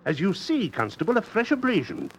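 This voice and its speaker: Sardonic captain with wildly swinging pitch, man acting. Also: wildly swooping pitch, dull audio, slight background noise, full character voice. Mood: needling. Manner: dry, needling, deliberate. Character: cool, sardonic sea captain turning tables on accusers. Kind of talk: radio mystery play acting.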